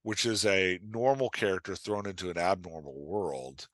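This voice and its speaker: Guy on a podcast coaching writers, lowish voice, super close dry sound, keeps going "right?". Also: animated, moderate pace, a bit bright, no room sound. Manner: emphatic, acts out imagined lines, checks with "right?". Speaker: guest, writing coach and author. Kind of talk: casual podcast advice talk.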